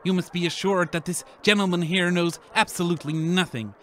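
An actor playing a sceptical travelling scientist in a comedy radio play, pompous and verbose. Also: male voice, high-pitched, fairly even intonation, brisk, sharp tone, a little hiss or hum. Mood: dismissive. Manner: pompous, verbose, long-winded.

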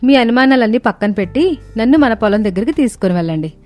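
A woman playing a cheerful, eager young city-bred daughter-in-law in an animated moral story. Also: on the high side, quick pace, natural voice. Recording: music bed under the voice.

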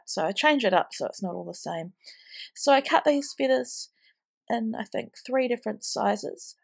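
A woman's voice: medium pitch, creaky. A woman in her thirties, heavily pregnant and tired, chatting casually with a blocked, snuffly nose while narrating her scrapbooking. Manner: chatty, rambling with ums. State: stuffy nose from a cold. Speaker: scrapbooking vlogger. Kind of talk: casual craft voice-over.

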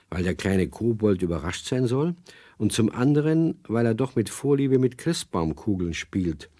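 A man's voice: lowish voice, warm tone, slightly rough, dry recording, heavily acted. A man narrating a children's radio play as an easygoing, colloquial storyteller.

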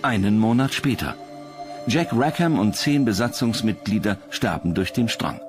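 A man's voice: on the low side, animated, moderate pace, over music. A man reading scripted documentary narration as the narrator.

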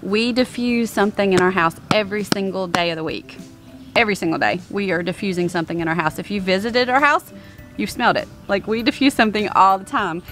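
A woman's voice: mid-range voice, brisk, over music. A woman in her thirties vlogging outdoors on a lawn, talking casually with many ums while showing products to a handheld camera. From American. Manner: chatty, many ums, upbeat about products. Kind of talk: casual product show-and-tell. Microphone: handheld camera at arm's length.

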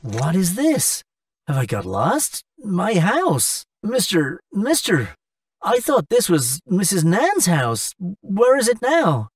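A man's voice: high-pitched, wildly swooping pitch, slow, no echo, studio quality, far from the mic, heavily acted. A man playing a spoilt young gambler son in a dramatic, self-pitying cartoon style. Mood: confused. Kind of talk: English-language cartoon acting.

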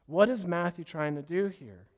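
A man preaching a sermon as pastor, hoarse from a cough, with a scratchy voice and an earnest, rambling way of talking. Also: sits high, lively intonation, moderate pace. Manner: earnest, loses his train of thought and apologizes.

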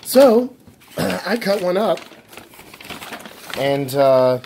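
A man with a scratchy, husky voice vlogs casually from home about postage prices. He rambles, with lots of fillers and restarts. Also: sits high, very animated, very slow, a little mellow. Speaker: YouTube vlogger. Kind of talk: casual talk to camera, explaining postage prices.

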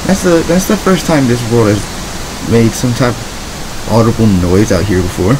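An actor playing a lost, frightened survivor stranded in darkness in an audio log drama, speaking haltingly with long pauses over hissing noise. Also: medium pitch, close to an everyday voice. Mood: weary, fearful.

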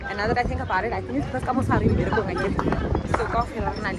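A woman's voice: mid-range voice, racing, very noisy. A young adult South African woman chatting casually as a vlogger to a phone held at arm's length outdoors. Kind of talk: casual vlog chat to camera. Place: outdoors at a busy market. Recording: loud music and crowd outdoors.

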